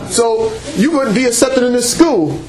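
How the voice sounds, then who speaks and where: A man preaching and teaching the Bible to a congregation without a microphone, speaking loudly and emphatically and breaking in with exclamations of praise. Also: very high-pitched, lively intonation, unhurried, a crowd in the background. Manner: loud and emphatic, with call-and-response exclamations like praise and amen. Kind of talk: sermon-style teaching to a congregation. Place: church meeting room before a congregation. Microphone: unamplified voice picked up by a room mic. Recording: echoey room recording.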